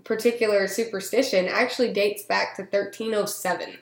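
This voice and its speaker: A woman's voice: mid-range voice, fairly even intonation, normal speed. A woman hosting a casual podcast chat, telling a history story in a chatty way, laughing often and tripping over her words. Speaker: podcast host. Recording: roomy echo.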